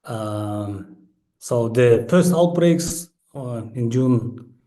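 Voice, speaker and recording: A man who is not a native English speaker, giving an academic presentation as a researcher, halting and full of hesitations. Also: mid-range voice, expressive, mellow. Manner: halting, frequent hesitations and pauses. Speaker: researcher and book author presenting his study.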